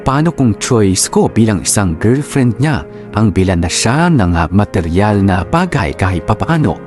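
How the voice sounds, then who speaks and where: A man with a Filipino accent narrating a wife's sentimental love story in the first person, in a deliberate radio drama style. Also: on the low side, sing-song, a little mellow, soft background music. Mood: aggrieved, wistful. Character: aggrieved wife recounting her marriage.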